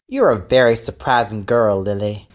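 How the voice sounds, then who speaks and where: A stubborn teenage boy of the Old West, voiced by a woman, pitched very low for a female voice and swinging widely in tone. The delivery is surly and defiant, in amateur western audio drama style. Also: expressive, moderate pace. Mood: irritated.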